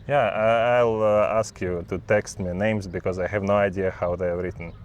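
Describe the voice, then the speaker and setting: A man from Eastern Europe hosting a casual interview, asking questions haltingly with many "uh"s and teasing his guest. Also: fairly low pitch, steady tone, lo-fi sound, slight background noise. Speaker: host.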